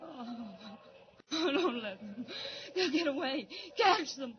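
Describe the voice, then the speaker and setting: A frantic delirious young woman, shrill cries, wild swoops, slow, old radio play, dull audio. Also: expressive. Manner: crying out, desperate. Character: young woman, delirious and panicked after a fire. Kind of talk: 1940s radio acting. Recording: scratchy 1940s radio transcription.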